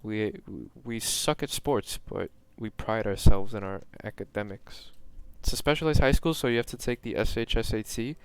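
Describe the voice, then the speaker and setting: Smooth-voiced young man rambling over a game. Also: lowish voice, normal speed, few pauses. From New York. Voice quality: monotone. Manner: rambling, self-mocking, drifts off-topic mid-game. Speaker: streamer. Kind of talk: casual gameplay commentary.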